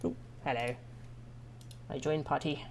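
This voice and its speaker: A woman's voice: rumbling low, fairly even intonation, measured pace, darkish tone, a little hiss or hum. A woman streaming a video game, chatting casually and trailing off and restarting as she thinks aloud. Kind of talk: casual chat while playing and reading game text aloud.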